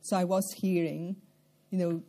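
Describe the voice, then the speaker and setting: Low, mellow-voiced older Scottish woman. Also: little pitch movement. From central Scotland. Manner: measured, reflective, with occasional soft laughs and throat clearing. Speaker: interviewee. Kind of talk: life-story interview.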